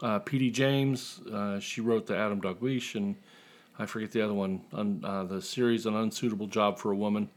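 A man's voice: on the low side, steady tone, brisk. A man talking casually as a guest author in an interview, with a joking, self-deprecating manner.

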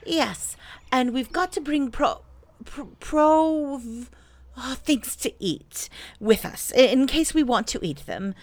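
A woman's voice: high-pitched, lively intonation, slow, no room sound, voice pushed up, exaggerated voice. A woman voicing a simple, good-natured, eager male toy bear in a children's storybook, naive and muddled. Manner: naive, muddled, hesitant over long words.

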